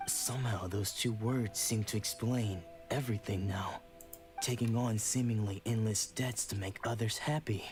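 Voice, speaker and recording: Guy doing a sad regretful game swordsman, slow and heavy, music under. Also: fairly low pitch, steady tone, close to an everyday voice. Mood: sombre. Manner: sombre, measured, sorrowful. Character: troubled young male swordsman, weary and regretful.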